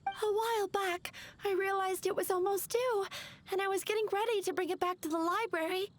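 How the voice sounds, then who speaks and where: A woman's voice: extremely high pitch, fairly even intonation, brisk. A woman playing a timid, sweet young flower seller in a video game, nervous and hesitant. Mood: nervous, guilty. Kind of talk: video game character acting. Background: game music.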